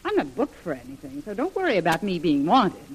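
A woman's voice: lowish voice, wildly swooping pitch, fairly quick, slightly rough, exaggerated voice. An actress playing a brassy, streetwise woman with slangy, wheedling talk in an old radio adventure drama. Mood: tough, confiding. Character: brassy, hard-boiled woman undercover as saloon hostess. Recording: noisy old radio broadcast recording.

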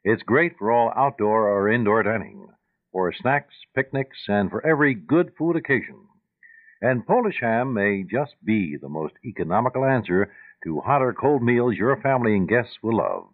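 Low-voiced radio ad announcer, animated intonation. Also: male voice, moderate pace. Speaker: commercial announcer. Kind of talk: scripted radio advertisement read. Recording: tinny old radio broadcast.

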